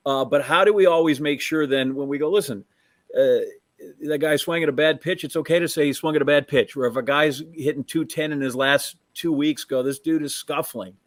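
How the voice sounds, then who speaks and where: A man's voice: a little high, brisk, dry recording. A middle-aged American man hosting casual sports talk, anecdotal and opinionated in his questions. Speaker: sports talk host, interviewer.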